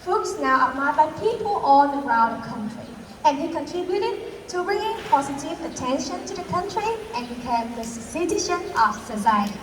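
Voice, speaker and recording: Vietnamese woman as stage host, high voice, rehearsed careful english, roomy hall, heard from far. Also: big pitch swings, normal speed, few pauses. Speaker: talk show host in a school performance. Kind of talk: school stage role-play in English. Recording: echoey hall, distant mic, background noise.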